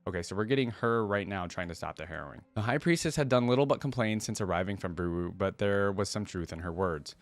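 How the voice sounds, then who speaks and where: A man's voice: low-pitched, lively intonation, fast, hardly any pauses, no echo. A man hosting a reaction video, reading a story aloud and commenting casually as he goes.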